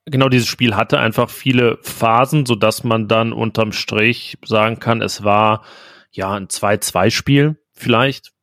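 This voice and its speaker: A man's voice: lowish voice, steady tone, measured pace, fairly bright tone, dry recording, studio quality. A man hosting a casual football podcast, chatty with frequent fillers. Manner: conversational, filler-heavy. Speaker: podcast host, sports reporter.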